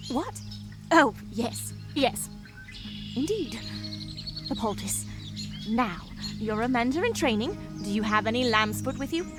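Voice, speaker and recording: A woman's voice: a little high, animated, unhurried, long pauses, music in the background. A woman voicing a prim, fussy female rabbit healer in a fantasy game, chatty and lecturing with a condescending manner. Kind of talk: visual novel voice acting.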